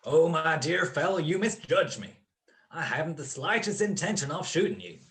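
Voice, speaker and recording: A man's voice: fairly high pitch, expressive, moderate pace, a bit bright. A man playing a suave gangster boss in a radio crime drama, mock-courteous and taunting. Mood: gloating. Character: suave, gloating male gangster boss with a gun.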